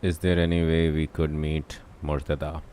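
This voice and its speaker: Deep-voiced Indian-accented male livestreamer. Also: little pitch movement, normal speed, warm tone. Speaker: game livestreamer. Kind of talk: casual livestream chat. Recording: faint background noise.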